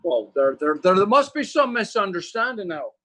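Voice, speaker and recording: Guy doing a slick fussy gangster lawyer, radio drama, overly polite, hems and haws, high sharp lively voice. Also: moderate pace, exaggerated voice. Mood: flustered. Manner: mannered, polite, hesitant, evasive. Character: oily, fussy Irish lawyer fronting for mobsters. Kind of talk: old-time radio character acting.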